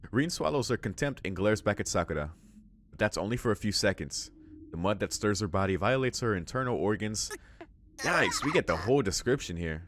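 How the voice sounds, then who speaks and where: A man with a fairly low voice hosts a let's play, reading game narration aloud. He breaks off into casual, exclamatory asides as he reacts. Some noise sits behind his voice. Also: animated, a little fast, fairly bright tone. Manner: informal, exclamatory asides between read passages. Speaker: visual novel let's play host.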